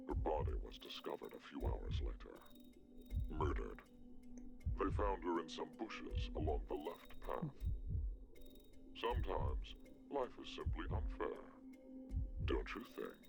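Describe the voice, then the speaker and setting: Evil mastermind from a video game, slow ominous delivery, creaky low voice, loud music behind. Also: male voice, big pitch swings, exaggerated voice. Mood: ominous storytelling. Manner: deliberate, theatrical, ominous. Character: menacing male mastermind addressing captives, coldly unhurried. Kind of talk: dramatic villain monologue.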